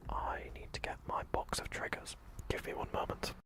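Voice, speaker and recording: A man whispering playfully to viewers on an ASMR livestream into a fluffy microphone, repeating greetings and joking around. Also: normal speed.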